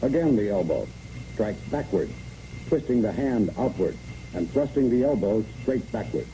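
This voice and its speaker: A man's voice: fairly low pitch, expressive, moderate pace, warm tone. An American man reads a scripted 1960s military instructional narration in clipped, step-by-step commands. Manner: clipped, imperative, step-by-step delivery. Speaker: training film narrator. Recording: noisy, muffled 1960s training film soundtrack.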